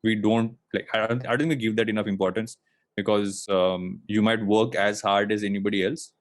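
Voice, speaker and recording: A man's voice: lowish voice, steady tone, a little fast, no room sound. A man in his late thirties from India, a podcast guest talking in a reflective, rambling way with lots of fillers and restarts. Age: late 30s. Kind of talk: casual interview chat.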